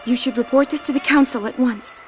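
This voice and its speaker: A woman playing a gentle, grave young High Summoner in a fantasy audio drama, speaking in a measured, earnest way. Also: faint music behind, close to an everyday voice. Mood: firm, sorrowful.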